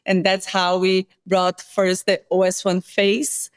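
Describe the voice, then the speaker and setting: Woman, Brazilian accent, measured explainer, mid pitch, dry close sound. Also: monotone, clear, resonant tone, no echo. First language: Portuguese. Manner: measured, explanatory, with self-corrections. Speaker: guest scientist. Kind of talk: interview explanation.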